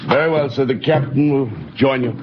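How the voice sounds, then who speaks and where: An actor playing a dutiful spaceship announcer with military formality in a 1950s radio drama. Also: male voice, mid-range voice, fairly even intonation, a little fast, over music, natural voice. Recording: echoing, dull 1950s radio, busy background.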